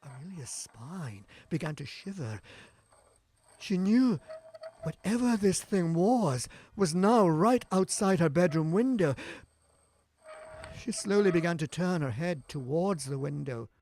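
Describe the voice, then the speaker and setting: A man's voice: a little high, lively intonation, measured pace, darkish tone, a little hiss or hum. A man narrating a scripted gothic horror tale in a theatrical, suspenseful storytelling manner with swelling emphasis. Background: music.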